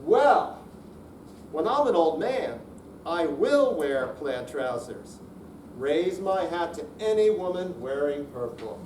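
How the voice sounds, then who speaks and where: A man's voice: a little high, sing-song, slow pace. An older man giving a dramatic amateur reading of humorous verse at a live performance. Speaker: amateur readers theater performer. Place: indoor senior center room, live performance. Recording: roomy, distant mic, noisy hall.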